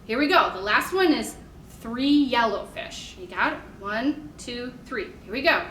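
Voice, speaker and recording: A woman's voice: a little high, lively intonation, measured pace, fairly bright tone, recorded from a distance. An American woman leading a storytime for toddlers as a children's librarian, talking simply to small children with questions and encouragement. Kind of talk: picture-book reading and sing-along for toddlers. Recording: echoey room with faint background noise.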